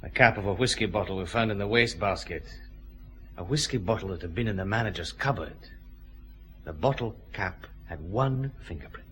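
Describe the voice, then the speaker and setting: An actor playing a middle-aged Scottish chief inspector in a radio detective drama, brisk, pressing and confident, with dry humour. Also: male voice, lowish voice, a bit bright, slight background noise, lightly acted. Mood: measured, pressing.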